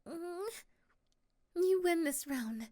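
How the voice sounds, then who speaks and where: A woman playing a tired, sweet, teasing young woman in love in a soft ASMR romantic roleplay, at times whispering breathily. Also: very high-pitched, animated, very slow, heavily acted. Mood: sleepy, teasing.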